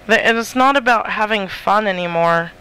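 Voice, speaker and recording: A woman's voice: medium pitch, moderate pace, sharp tone, low-quality recording. A woman co-hosting a radio show in casual chat, playfully chipping in with quips.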